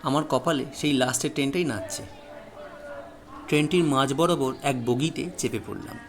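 A man telling a first-person horror story as a dramatic narrator, with suspenseful, deliberate phrasing. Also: mid-range voice, faint music behind.

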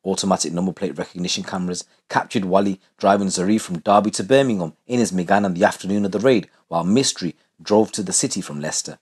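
A man with a husky voice reading scripted true crime narration. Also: deep voice, darkish tone.

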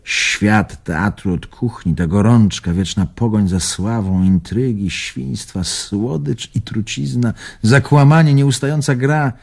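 A man's voice: fairly low pitch, slow, creaky, few pauses, darkish tone, heavily acted. An older man playing a bitter, wounded old bon vivant in a radio drama, musing theatrically and evasively. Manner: theatrical, musing, swinging between murmur and outburst.

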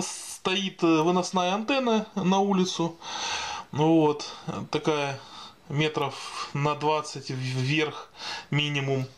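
Higher-pitched man, halting informal explainer. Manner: hesitant, halting, improvised delivery. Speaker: video host explaining radio equipment.

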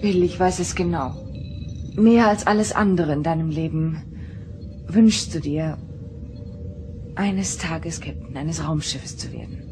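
A woman's voice: low voice, unhurried, pauses often, music in the background. A woman playing an earnest, troubled starship counselor who speaks confidingly in a German radio play. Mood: tender, earnest.

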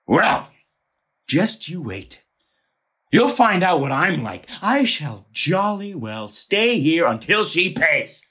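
Casting: woman as blustering male landowner in stage farce, husky voice, wildly animated delivery, constantly exasperated. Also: mid-range voice, exaggerated voice. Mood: fuming. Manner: ranting, exasperated, theatrical. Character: irate, blustering male landowner demanding his money. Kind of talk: theatrical audio play acting.

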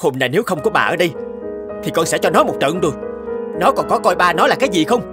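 A man's voice: sits high, sing-song, fast, over music. A man voicing a stern, overworked middle-aged father in a Vietnamese cartoon, scolding and exasperated. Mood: angry scolding.

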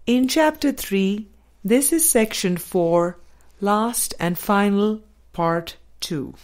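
A woman reading a spiritual book aloud as a scripted audiobook, measured and deliberate, pausing between phrases. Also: mid-range voice, darkish tone. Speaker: audiobook reader.

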